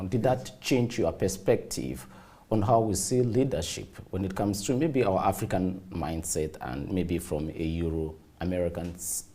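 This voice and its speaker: A man's voice: sits low, warm tone. A man interviewing a guest, asking long, formally framed questions.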